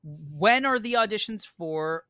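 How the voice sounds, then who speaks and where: A man with a bright, forward voice tells a casual story as a podcast guest. His pitch moves around a lot as he plays out each side of an exchange. The sound is dry, with hardly any room on it. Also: slow, no room sound. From United States, New York. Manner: animated, acts out both sides of remembered exchanges. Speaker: podcast guest, stage actor.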